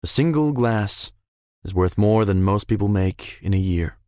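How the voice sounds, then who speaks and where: A man's voice: on the low side, lively intonation, measured pace, darkish tone, no room sound, clean studio sound. A man voicing a suave, self-assured young billionaire in a visual novel, with formal, polished and theatrical phrasing. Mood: proud, smooth. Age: young adult. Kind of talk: visual novel character acting.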